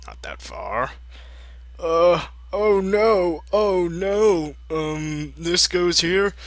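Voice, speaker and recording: A man's voice: high-pitched, expressive, drawn-out words, no room sound, voice pushed up, heavily acted. A man voicing a young female pony scholar, eager and flustered, with a hesitant, apologetic manner in a fan fiction reading.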